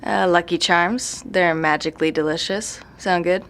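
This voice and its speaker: A woman's voice: lowish voice, steady tone, moderate pace, fairly bright tone. A woman playing a jaded, self-deprecating night-owl composer in an audio drama, rambling bluntly with sardonic, slangy swearing. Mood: wry, relaxed. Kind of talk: naturalistic audio drama interview acting. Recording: noisy room recording.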